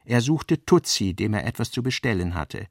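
A man's voice: lowish voice, lively intonation, moderate pace, gravelly, no echo, studio quality. A man reading literary narration for a radio drama in a scripted style.

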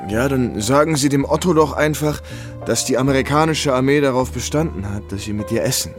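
An actor playing an easygoing, courteous American army captain in a naturalistic, conversational radio drama style. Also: male voice, mid-range voice, brisk, lightly acted. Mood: friendly, charming. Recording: faint music behind the voice.

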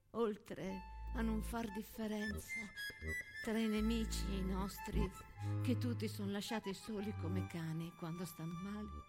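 An actress playing a young First World War nurse, weary, angry and compassionate, in an intense theatrical diary monologue with deliberate pauses. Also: female voice, on the high side, close to an everyday voice. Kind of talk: dramatic stage monologue over music.

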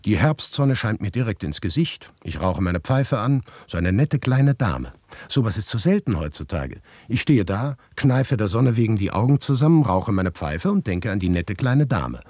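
A male actor playing an aloof bachelor writer, telling his own story in a radio play with dry, expressive delivery. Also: fairly low pitch, normal speed, no echo. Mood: charmed. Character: aloof, pipe-smoking bachelor writer, easily flustered. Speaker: narrator and protagonist in a radio play. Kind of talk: first-person radio play narration.

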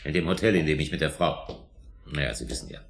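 A man's voice: a bass voice, expressive, fast talker, creaky, a little hiss or hum, heavily acted. A man acting in a German radio drama as a defensive, indignant suspect under police questioning, emphatic and self-justifying. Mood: defensive, explaining.

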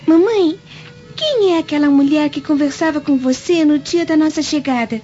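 A woman's voice: high voice, normal speed, dark tone, close to an everyday voice. A woman playing a small, innocent, sickly little girl in a radio drama, childlike and plaintive. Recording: dull lo-fi audio over music.

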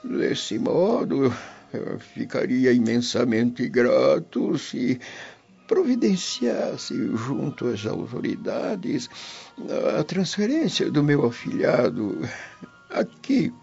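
An actor playing a formal Roman gentleman earnestly pleading for a favour in a radio drama. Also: mid-range voice, sing-song, slow pace, gravelly, heavily acted. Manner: formal, imploring. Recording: dull audio with music behind.

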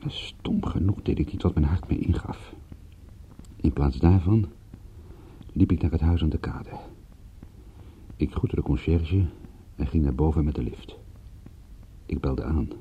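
An actor playing a world-weary, reluctant private detective who tells his story in a brooding, measured radio drama narration with a husky voice. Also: a bass voice, a little hiss or hum, exaggerated voice. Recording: muffled, phone-like old radio play recording.

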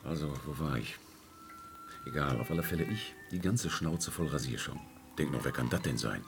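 An actor playing a sardonic, slangy working-class pub regular in a radio drama. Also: male voice, very deep voice, normal speed, background noise. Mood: grumbling. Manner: gruff, slangy, grumbling. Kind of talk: naturalistic radio drama acting.

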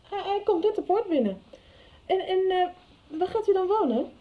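A woman's voice: very high voice, animated, moderate pace. An actress playing a young, timid, hesitant girl in a radio soap. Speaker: actor. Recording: slightly dull radio recording.

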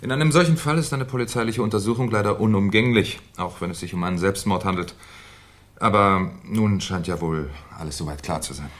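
An actor playing a shrewd, courteous French police commissioner with dry irony in a 1970s German radio play. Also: male voice, fairly low pitch, natural voice. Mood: smoothly polite. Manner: polite, dryly ironic, unhurried questioning. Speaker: actor in a radio crime drama.

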